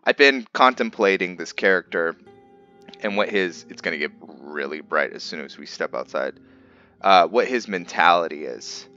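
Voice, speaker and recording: A man giving casual video game commentary as a roleplay host, halting as he thinks aloud. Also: deep voice, lively intonation, pushed and bright, dull audio. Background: game music.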